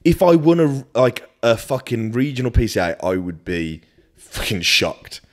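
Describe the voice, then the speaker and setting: A man's voice: mid-range voice, big pitch swings, normal speed. A British man in his early thirties chatting casually as a podcast guest, blunt, sweary and self-deprecating. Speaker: podcast guest, fitness coach. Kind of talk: casual chat.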